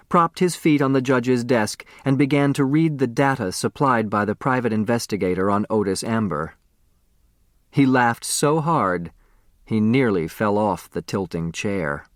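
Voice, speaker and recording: A man reading a children's novel aloud as expressive scripted narration. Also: mid-range voice, no room sound, clean studio sound. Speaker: audiobook narrator.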